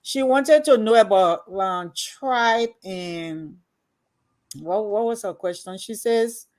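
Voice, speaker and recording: A woman's voice: medium pitch, darkish tone. Earnest woman hosting an interview. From Liberia. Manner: earnest, halting, many fillers. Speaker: host. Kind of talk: casual interview chat.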